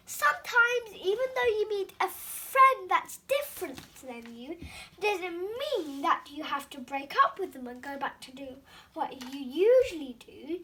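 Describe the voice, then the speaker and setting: A young girl hosting storytime, reading a children's book aloud with careful, animated expression. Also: very high-pitched, slight background noise.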